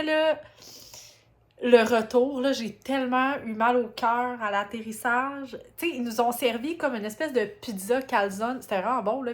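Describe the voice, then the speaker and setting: A woman's voice: a little high, fairly bright tone. A woman with a Quebec French accent chatting casually to her vlog in an informal, rambling way, often interrupting herself. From Quebec, Canada. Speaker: vlogger. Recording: clearly noisy, travel ambience.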